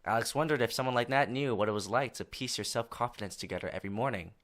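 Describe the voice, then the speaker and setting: A man's voice: mid-range voice, fast talker, a bit bright, natural voice. A man narrating an audio drama as a wry, literary storyteller. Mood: wry, observant.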